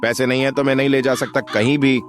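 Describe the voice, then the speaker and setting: A man's voice: medium pitch, flat delivery, fast talker, soft background music, close to an everyday voice. A man voicing a scornful, money-minded village auto driver in a cartoon, mocking and dismissive. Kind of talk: Hindi cartoon voice acting.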